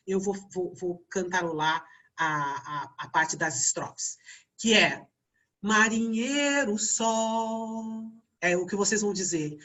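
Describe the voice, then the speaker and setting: A woman's voice: mid-range voice, a bit bright. A woman with a Brazilian accent teaching an online class informally, often checking in with short tag questions. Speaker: teacher leading a theatre voice class.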